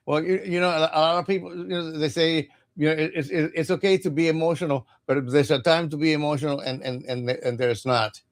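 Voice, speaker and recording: Halting man. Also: on the high side, fairly even intonation. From Cuba. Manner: halting, repeats words often. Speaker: tarot reader. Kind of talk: casual livestream chat.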